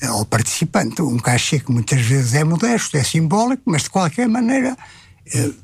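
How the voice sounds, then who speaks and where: Older portuguese guy, husky and a bit high, dry close sound, lots of pauses. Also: no room sound. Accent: European Portuguese. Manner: thoughtful, many hesitations, tag questions. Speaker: retired publisher. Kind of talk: conversational interview answers.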